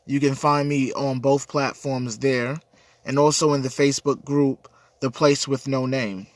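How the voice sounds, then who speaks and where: A man's voice: mid-range voice, steady tone, unhurried, no room sound. A man with a cold and a stuffy, congested voice, hosting a casual livestream chat and answering viewer comments in an earnest, rambling way. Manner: earnest, rambling, repeats words. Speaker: livestream host.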